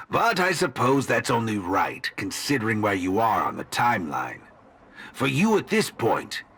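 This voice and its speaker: An actor playing a pompous, smug villainous teacher in a video game, lecturing in a theatrical, philosophical way. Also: male voice, medium pitch, fairly bright tone, slightly rough, full character voice. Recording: game music under the voice.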